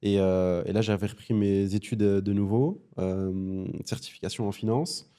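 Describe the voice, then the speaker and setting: A man in his thirties from Belgium, a podcast guest talking casually in a studio, halting with many fillers. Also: on the low side, dark tone. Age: 30s. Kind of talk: casual interview chat.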